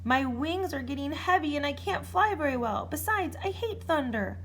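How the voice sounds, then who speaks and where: A woman voicing a weary, gentle male dragon who is afraid of thunder, in a high, breathless voice. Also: expressive, moderate pace, slight background noise.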